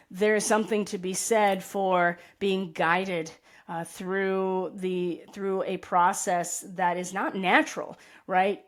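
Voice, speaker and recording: A woman with a raspy voice giving a casual astrology forecast, rambling and correcting herself with many asides and tag questions. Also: medium pitch. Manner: rambling, self-correcting, frequent "right?" and "you know". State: tired voice.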